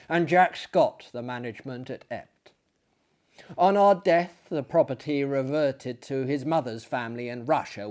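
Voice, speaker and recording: A male reader delivers a gothic tale at a very unhurried pace. His voice sits at a middling pitch. The telling is solemn and theatrical, with deliberate pauses and hushed falls at the ends of phrases. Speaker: audiobook reader and performer. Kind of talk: dramatic narration of gothic fiction.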